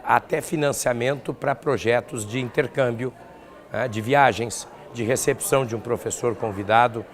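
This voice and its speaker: An older man with a southern Brazilian accent speaks at a middle pitch as an academic being interviewed. He explains his points step by step, reaching for concrete examples. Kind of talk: spoken interview answers.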